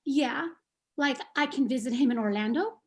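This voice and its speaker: Woman as a high-voiced, stubborn, hurt little girl. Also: normal speed, lightly acted. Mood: sulky. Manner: plaintive, insistent. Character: Lorena, hurt, stubborn young Cuban immigrant girl. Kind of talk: dramatic play table read.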